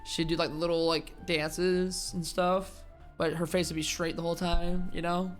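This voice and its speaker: A woman's voice: fairly low pitch, flat delivery, a little slow. A woman streamer giving casual, joking commentary over a game in a slangy, reactive way. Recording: faint game music behind the voice.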